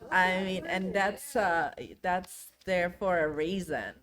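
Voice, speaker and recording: Slow-speaking low-voiced woman. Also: crowd noise. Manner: relaxed, laughs easily. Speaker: book club member. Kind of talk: casual book discussion.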